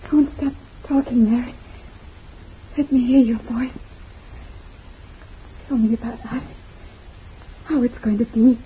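A woman's voice: fairly high pitch, steady tone, slow, long pauses, husky, heavily acted. A woman voicing an injured, delirious wife calling weakly for her husband in an old radio drama. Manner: faint, dreamy, yearning. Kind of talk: 1940s radio acting. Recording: tinny old radio recording from off-mic.

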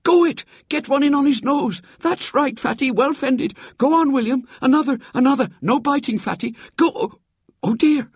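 A man voicing a frail, mischievous elderly great-aunt in a story reading, with a shrill, gleeful voice. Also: big pitch swings, takes it slowly, creaky, a little mellow, no echo, studio quality, full character voice. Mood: cheering. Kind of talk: audiobook character voice.

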